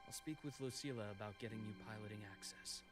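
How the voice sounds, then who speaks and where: An actor playing a calm, caring male doctor with a measured, reassuring way of speaking. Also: on the low side, lightly acted. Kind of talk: game voice acting. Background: game music.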